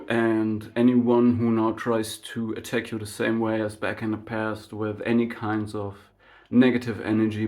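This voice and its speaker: A man whose first language is German, giving an unscripted spiritual monologue to his channel in a slow, reflective way, full of fillers and tag questions like "right?". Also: fairly low pitch, a little mellow. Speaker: spiritual vlogger.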